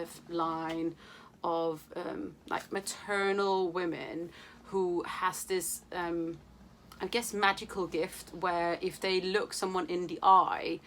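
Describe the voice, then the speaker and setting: A young woman talks about books in a loose, playful way, with plenty of fillers and the odd swear word. Her voice sits a little low. A faint hiss runs under the recording. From Denmark. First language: Danish. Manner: chatty, playful, swears casually, many fillers. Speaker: book vlogger. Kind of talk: casual chat to camera.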